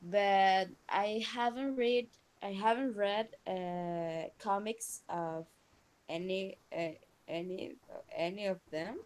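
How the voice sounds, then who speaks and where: A woman's voice: mid-range voice, steady tone, takes it slowly, a little mellow, smooth. A woman of twenty, a student, taking part in a video-call discussion and hedging her answers a lot. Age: 20s. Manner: hesitant, often unsure. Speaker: student participant. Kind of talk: casual group discussion.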